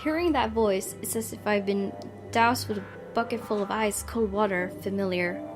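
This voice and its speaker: A woman with a medium-pitched voice hosts a gaming video. She reads the story dramatically and adds casual commentary, with music clearly playing behind her. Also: moderate pace.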